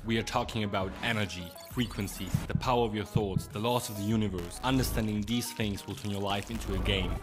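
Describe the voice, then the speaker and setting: Low-voiced man in a promo over music. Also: fairly even intonation, a little fast, hardly any pauses. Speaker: ad narrator. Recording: voice-over under loud music.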